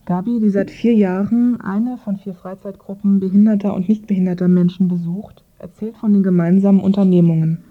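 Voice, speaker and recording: A woman presents a scripted radio report in the manner of a magazine programme. Her voice sits at an average pitch. The recording is thin and band-limited, almost like a phone line. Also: steady tone, brisk, few pauses.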